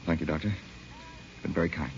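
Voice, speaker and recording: An actor playing a bitter, resigned young war-wounded captain in an old radio drama, clipped and restrained. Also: male voice, extremely low pitch, fairly even intonation, moderate pace. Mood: resigned, grave. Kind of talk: 1930s-40s radio drama acting. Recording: dull, hissy old radio recording.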